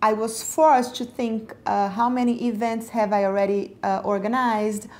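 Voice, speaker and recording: A woman's voice: a little high, steady tone, moderate pace, darkish tone. A woman from Brazil, interviewed about job hunting, speaking reflectively and searching for words with frequent fillers. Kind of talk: personal interview.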